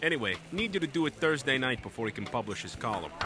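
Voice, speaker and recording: An actor playing a shady, swaggering gangland go-between, sly and slangy, in old-time radio style. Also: male voice, medium pitch, big pitch swings, fast talker, noisy background, lightly acted. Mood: distrustful.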